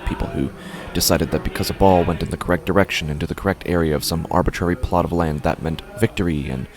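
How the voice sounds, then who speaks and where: An actor playing an anxious, cynical, philosophizing man in a horror audio drama, with a brooding, halting delivery full of questions and pauses. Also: deep voice, fairly even intonation, natural voice. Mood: weary, cynical. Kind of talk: first-person dramatic monologue, horror audio fiction.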